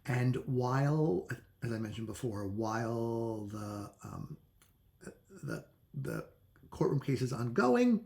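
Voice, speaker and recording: This is a man with a noticeably creaky, gravelly voice at an ordinary middle pitch. He talks as a book reviewer would in a casual, unscripted chat. His delivery is halting and conversational, and he often backs up to reword himself. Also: wildly swooping pitch, darkish tone. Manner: halting, self-correcting, conversational.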